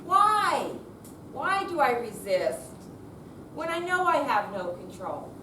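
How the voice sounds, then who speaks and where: Woman, slow animated dramatic reading, middle pitch, distant noisy recording. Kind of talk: readers theater dramatic reading. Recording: echoey room, distant mic, noisy.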